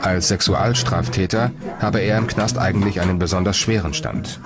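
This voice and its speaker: A man narrates a documentary in a calm, measured read. His voice sits very low, and music runs loud beneath every line.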